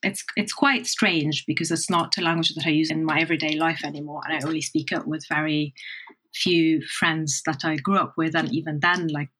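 A woman's voice: sits low, fairly even intonation, a little fast, a bit bright, slight background noise, poor audio. A woman from Egypt who works as a translator, speaking thoughtfully and repeating words as she searches for them. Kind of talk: reflective interview talk.

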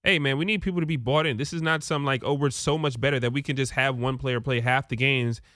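Fast-talking man giving sports opinions. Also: medium pitch, steady tone, a bit bright, no room sound, studio quality. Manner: informal, slangy, opinionated. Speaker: sports betting show panelist. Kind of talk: casual sports talk.

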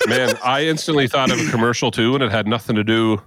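A middle-aged man co-hosting a podcast, telling nostalgic stories in a casual, animated chat. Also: medium pitch, quick pace, background noise. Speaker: podcast co-host.

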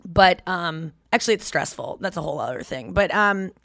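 Chatty, slightly hoarse woman podcast host. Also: on the low side, animated, normal speed, fairly bright tone, dry recording, studio quality. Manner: rambling, chatty, many fillers and restarts. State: a little hoarse. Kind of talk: casual chat.

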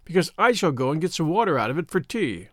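Man voicing a high, brash English schoolboy. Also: big pitch swings, fast, fairly bright tone, no echo, clean studio sound. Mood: defiant. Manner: brash, dismissive. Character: rude, scornful English schoolboy scout.

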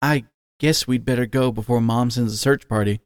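A man's voice: medium pitch, steady tone, normal speed, clean tone, no echo, clean studio sound, close to an everyday voice. A man voicing a young adult son, a wolf living as a horse, who sounds hurt and defiant with a rising voice. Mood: nervous.

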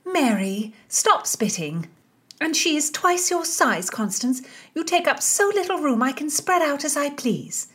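A woman voicing a greedy, plump young lady-in-waiting with a high, drawn-out whine in an audiobook. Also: very animated, a bit bright, full character voice. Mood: teasing.

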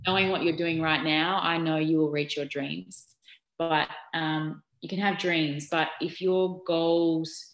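Middle-aged Australian woman, low voice, chatty interviewee full of ums, echoey room. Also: unhurried. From Newcastle, New South Wales, Australia. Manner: chatty and animated, many ums and restarts. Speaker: interviewee, former basketball player. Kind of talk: casual interview answers.

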